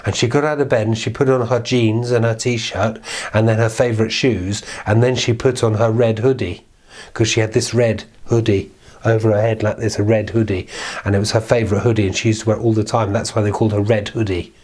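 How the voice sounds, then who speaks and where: A man's voice: fairly low pitch, steady tone, a little fast, darkish tone. A man telling a children's story in an informal, improvised way, chatty and playful, with repeated phrases.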